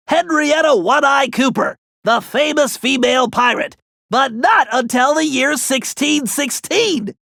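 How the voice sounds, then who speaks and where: A nerdy young male turtle from an animated video game, speaks in a very high, nasal voice with a creaky edge. He is bookish and anxious, giving earnest, precise mission briefings. The sound is dry and close. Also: no echo, full character voice.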